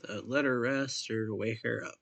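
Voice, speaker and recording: A man's voice: medium pitch, steady tone, normal speed, gravelly, background noise. A man hosting a gaming video, casually commenting and reading game text aloud in a laid-back way with mumbled asides.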